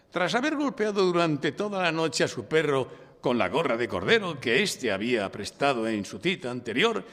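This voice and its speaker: A man's voice: a little high, a bit bright. An actor with an accent from Spain playing an oratorical male defence lawyer who argues and narrates his case in a courtroom radio drama. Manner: oratorical, insistent, declamatory. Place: public library, before a live audience. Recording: reverberant hall, live stage recording.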